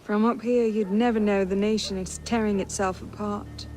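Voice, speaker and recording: An actress playing a resolute, thoughtful young woman in a video game, speaking in a composed, measured way. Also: medium pitch, fairly even intonation, music in the background, lightly acted. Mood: reflective. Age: young adult.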